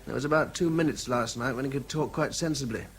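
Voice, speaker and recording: An actor as a worn-out investigator in a radio drama, grave and drained. The voice is mid-pitched, with some noise behind it. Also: male voice, quick pace, creaky, close to an everyday voice. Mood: exhausted, grave. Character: exhausted, grave male bomb investigator.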